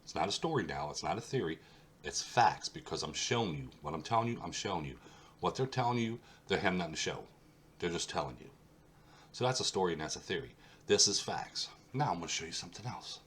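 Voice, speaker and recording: A man with an extremely low voice, stuffed up and congested, hosts his own history channel. He walks through a map and keeps hammering home his points by repeating them. A faint hum sits under the recording. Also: animated, fairly quick, a bit bright. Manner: insistent, repeats points for emphasis. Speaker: host of a history mystery channel. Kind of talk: solo video talk over a map.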